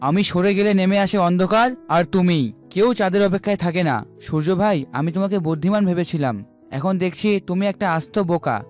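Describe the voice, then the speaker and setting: High-voiced proud celestial cartoon figure played by a man. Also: fast, soft background music, lightly acted. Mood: boastful bickering. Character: talking sun and moon, proud, bickering sky brothers. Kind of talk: cartoon fable dialogue.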